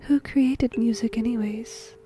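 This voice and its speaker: A woman playing a whimsical death god in a whispered ASMR roleplay, gentle, musing and playful, breathy and close to the microphone. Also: a little high, fairly even intonation, measured pace, over music, close to an everyday voice. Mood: soft wonder. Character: whimsical female shinigami death god fond of humans.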